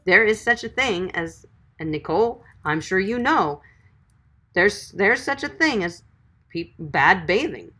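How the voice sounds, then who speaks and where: A woman's voice: mid-range voice, expressive, measured pace, pushed and bright. A woman dog groomer chatting on a livestream, reading viewer comments and giving friendly, encouraging advice. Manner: chatty, encouraging, calls viewers sweetie and guys.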